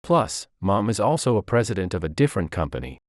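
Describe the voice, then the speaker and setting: A man's voice: lowish voice, sing-song, quick pace, dry recording, clean studio sound. A man voicing a cheerful, innocent and forthright little girl who is proud of her father in a narrated story. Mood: innocently proud.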